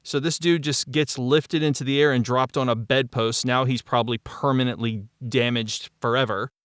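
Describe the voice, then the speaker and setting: A middle-aged man talking casually as a voice actor and creator in a cast discussion, telling stories in an animated way with mild swearing. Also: mid-range voice, moderate pace, smooth, no echo, studio quality. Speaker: voice actor and audio drama creator.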